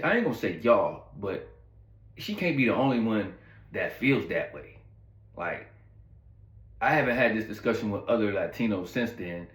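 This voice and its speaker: A man's voice: lowish voice, fairly bright tone. Laid-back man rambling through a story in a roomy echo. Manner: rambling, laid-back, heavy on fillers and asides to viewers. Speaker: channel host telling a story. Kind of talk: casual storytime monologue.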